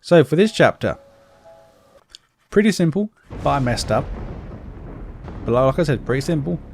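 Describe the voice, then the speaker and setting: A man's voice: fairly high pitch, sing-song, normal speed, gravelly, a little mellow. Guy doing a laid back video game walkthrough, plain talk, some game music behind him. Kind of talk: casual gameplay commentary.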